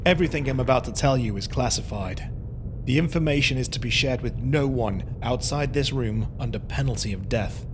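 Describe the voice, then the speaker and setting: A man's voice: medium pitch, background noise, lightly acted. A man playing a stern imperial commander. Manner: formal, measured briefing. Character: stern male military commander, formal. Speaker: imperial commander giving a briefing.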